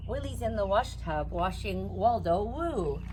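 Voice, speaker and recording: A woman's voice: medium pitch, animated, a little slow. Woman reading to children, noisy outdoor recording. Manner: sing-song, repetitive read-aloud delivery. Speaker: children's storytime host. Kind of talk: reading a children's picture book aloud.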